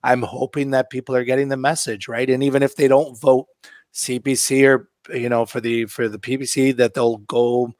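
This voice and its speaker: A man's voice: mid-range voice, level tone, normal speed, darkish tone, dry recording. A Canadian man with a mid-range voice gives his opinions in casual podcast chat. The recording is close and dry, with no room around him. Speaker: podcast co-host.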